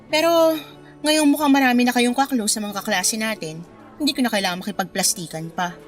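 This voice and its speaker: A woman's voice: fairly high pitch, brisk, close to an everyday voice. A woman playing a curt, resentful young college student in a radio drama, with cold, blunt politeness. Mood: scornful. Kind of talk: radio drama acting in Filipino. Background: music bed.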